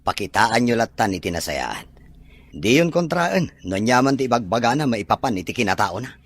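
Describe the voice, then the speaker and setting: A man's voice: mid-range voice, very animated, moderate pace, a bit bright, husky, no room sound, exaggerated voice. A man playing a kind, caring rich farm owner in an Ilocano audio drama, with faint birdsong behind him. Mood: easygoing.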